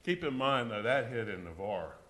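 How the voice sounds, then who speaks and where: A man with a deep voice chairing a local committee meeting, speaking in a plain, folksy way. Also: sing-song, moderate pace, a bit bright. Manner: plain-spoken, folksy, reminiscing. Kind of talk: public meeting, casual chat.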